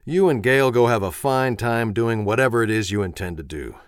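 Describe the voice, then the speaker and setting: A man's voice: medium pitch, expressive, moderate pace, clean tone, no echo, studio quality, close to an everyday voice. A man voicing an irritated middle-aged wife who speaks with cutting sarcasm. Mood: sarcastic.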